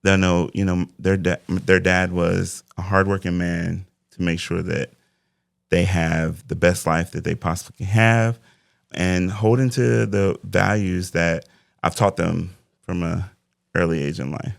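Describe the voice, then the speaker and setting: Forties male life coach, very low gravelly voice, dry close sound, thoughtful and faith-driven. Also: steady tone, unhurried, dry recording. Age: 40s. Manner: reflective, faith-driven talk. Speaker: interview guest, life coach. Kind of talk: casual interview conversation.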